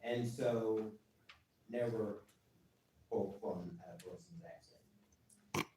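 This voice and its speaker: Councilman, man, deliberate and pointed, dark tone, distant in a roomy chamber. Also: lowish voice, a little hiss or hum. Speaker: council member. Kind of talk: public meeting remarks. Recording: echoey chamber from far off.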